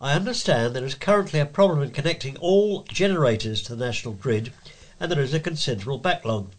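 A man's voice: medium pitch, animated, fast talker. A man reading letters and local items aloud as a volunteer news reader.

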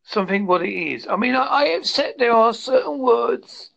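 Fifties male interviewer, Devon-Essex accent, gravelly, plain-spoken, muffled lo-fi sound. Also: very high voice, expressive, moderate pace, a bit bright. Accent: Devon and Essex English. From England. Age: 50s. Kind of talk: casual online interview. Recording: dull lo-fi mic.

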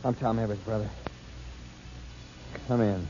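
A man's voice: on the low side, fairly even intonation, measured pace, a little hiss or hum, close to an everyday voice. An actor playing a hot-tempered, grieving man out for revenge in a 1940s radio drama, on a muffled old broadcast. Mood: bitter. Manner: angry, forceful.

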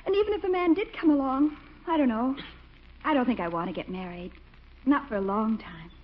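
A woman's voice: sits high, fairly quick, close to an everyday voice. An actress playing a sweet, independent young woman in a genteel, earnest 1940s radio comedy style. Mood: earnest, demure. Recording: muffled, noisy vintage radio recording.

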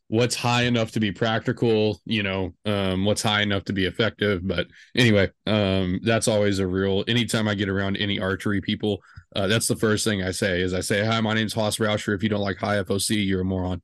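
A man's voice: fairly low pitch, little pitch movement, brisk, fairly bright tone, no echo, studio quality. A man in his twenties hosting a podcast, chatting casually and rambling with lots of fillers and jokes. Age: 20s. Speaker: podcast host.

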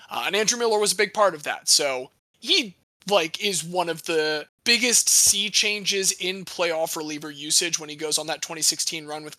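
A young man hosting a casual sports podcast, animated and jokey, swearing now and then. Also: a little high, no room sound, studio quality. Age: young adult. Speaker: podcast host.

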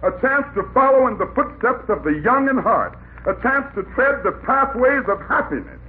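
A man's voice: extremely high pitch, sing-song, normal speed. Gushy pushy salesman, old radio comedy, voice swoops all over, tinny narrow sound. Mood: rapturous pleading. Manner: florid, theatrical sales patter. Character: flowery, pleading male magazine salesman, gushingly persistent. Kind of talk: 1950s radio comedy acting.

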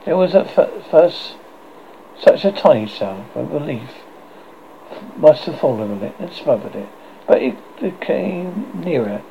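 A man reads a storybook aloud in an unpolished way, stumbling and restarting over words. His voice is gravelly and lively in pitch. The recording is dull and lo-fi with a faint hiss. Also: a little slow. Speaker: audiobook reader.

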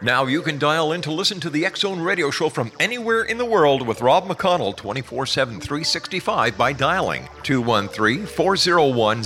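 A man's voice: mid-range voice, pushed and bright. A man reading a scripted radio promo as an announcer. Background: music.

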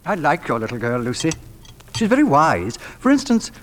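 An actor playing a suave, flirtatious gentleman artist in 1940s radio drama, smooth, teasing and theatrically ardent. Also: on the high side, wildly swooping pitch, normal speed. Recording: faintly noisy vintage radio broadcast.

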